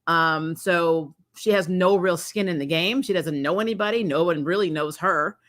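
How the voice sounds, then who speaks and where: Female reviewer, lowish forceful voice, casual and emphatic, unfiltered opinions with the odd curse. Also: normal speed. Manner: blunt, mildly sweary. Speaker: host of a fan review channel. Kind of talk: casual opinionated trailer commentary.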